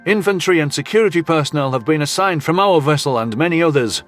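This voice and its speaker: A man playing a brisk, frank human military officer in a sci-fi audio drama, giving blunt, matter-of-fact briefings. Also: fairly high pitch, animated, faint music behind, lightly acted. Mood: brisk, official. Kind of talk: audio drama character acting.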